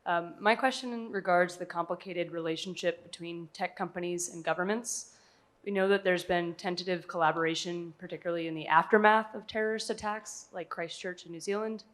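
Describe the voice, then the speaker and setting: A woman with an American accent puts a formal question to panelists from the audience. Her pitch sits a little low. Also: steady tone, brisk. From United States.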